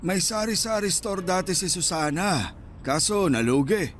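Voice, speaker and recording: An actor playing a small, shy old Filipino husband who sells at night, speaking plainly in a radio drama. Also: male voice, high-pitched, expressive, moderate pace, faint music behind. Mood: reflective.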